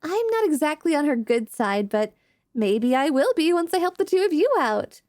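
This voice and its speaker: A woman plays a breezy young adventurer in a fantasy audio drama. Her voice is high and girlish, recorded very dry. She rambles casually and cheerfully, like a friendly party member. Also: very animated, normal speed, a little mellow, dry recording.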